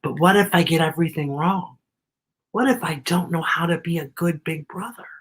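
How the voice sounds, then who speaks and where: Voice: mid-range voice, moderate pace, close to an everyday voice. A children's storyteller voicing an anxious, loving young boy who is about to be a big brother, in a picture-book read-aloud. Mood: anxious, hushed.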